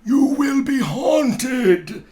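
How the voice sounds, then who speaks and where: A man voicing a mournful ghost in a storybook reading, delivering solemn warnings in slow, wailing, drawn-out proclamations. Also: very high voice, expressive, dark tone, raised voice, voice pushed up, exaggerated voice. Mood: ominous.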